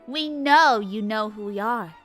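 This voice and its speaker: A man voicing a poised young filly who plays a royal advisor, sly and measured. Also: sits high, pushed and bright, soft background music, pitched above the performer's own voice. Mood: sly persuasive.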